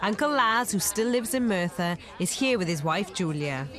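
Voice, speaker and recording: A woman in her thirties presenting a TV documentary, talking with bright enthusiasm. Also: medium pitch, animated, normal speed, a crowd in the background. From Wales. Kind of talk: presenting and interviewing. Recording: music under the voice.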